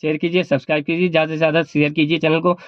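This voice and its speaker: A man's voice: a little high, flat delivery, rapid-fire, dry recording, lo-fi sound. A man vlogging informal advice in an explanatory, repetitive way, held back a little by a sore throat. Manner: explanatory, repeats points. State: sore throat, not speaking at full volume. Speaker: vlogger and cab driver. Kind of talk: informal advice vlog talk.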